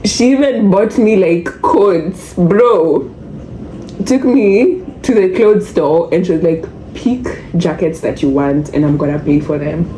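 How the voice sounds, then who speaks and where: A woman's voice: lowish voice, unhurried, mellow. Chatty young Kenyan woman, echoey room. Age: young adult. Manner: chatty, mixes English with Swahili slang. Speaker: vlogger. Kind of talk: casual storytelling vlog. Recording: echoey room with faint noise.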